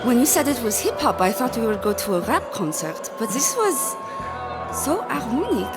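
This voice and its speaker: A woman's voice: fairly high pitch, big pitch swings, normal speed, loud music behind the voice, a loud crowd. A woman playing an affectionate, emotional girlfriend in a radio drama. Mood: dreamy, affectionate.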